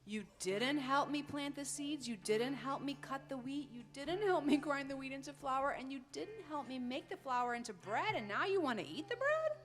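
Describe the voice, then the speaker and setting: A woman's voice: high-pitched, animated, moderate pace, few pauses, soft background music. A woman voicing a small, hardworking hen, plaintive but forgiving, in a sing-along children's story. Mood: indignant.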